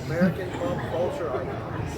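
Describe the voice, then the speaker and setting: A man's voice: high voice, normal speed, recorded from a distance, very noisy. A man in an audience calling out casual comic banter. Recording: very loud crowd around the voice.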